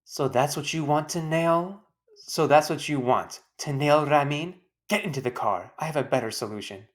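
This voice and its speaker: A woman's voice: low-pitched, normal speed, voice pushed up, close to an everyday voice. A woman voicing a firm, wry mother who scolds and reasons with children in a storybook. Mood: stern. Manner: pointed questions, firm instructions.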